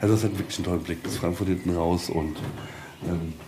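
A man giving an informal guided tour, explaining step by step in halting phrases on location in an old castle. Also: sits low, fairly even intonation. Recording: faint ambient noise on location.

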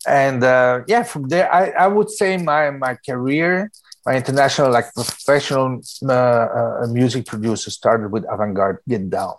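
Middle-aged guy raised in spain, english, lively chatty music producer, keeps repeating words. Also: mid-range voice, normal speed. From born in Belgium, raised in Spain. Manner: animated, laughing, repeats words. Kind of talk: casual interview chat.

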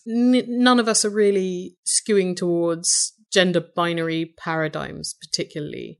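A woman with an English accent and a low voice with some vocal fry, talking thoughtfully and wryly as a guest in a conversational interview. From Britain. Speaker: podcast host, interview guest.

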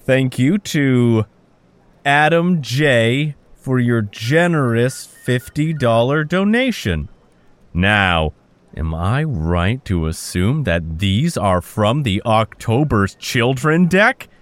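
A man's voice: mid-range voice, animated, slow pace, no echo. A man playing a swooping, showy telethon host. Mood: showy, upbeat. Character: showy male telethon host on a radio broadcast. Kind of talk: theatrical broadcast presenting.

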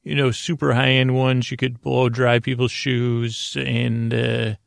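A man hosting a sleep podcast, rambling off the cuff in a halting, meandering way with stammers and repeated words. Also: mid-range voice, little pitch movement, no room sound. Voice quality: creaky, gravelly. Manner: deliberately slowed-down delivery. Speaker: podcast host.

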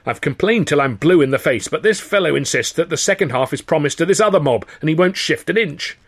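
An exasperated, anxious troupe manager, acted out by a male reader in a storybook reading. The voice is raised in pitch and level, fretful and exclamatory. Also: expressive, brisk, breathy edge. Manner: fuming, exclamatory.

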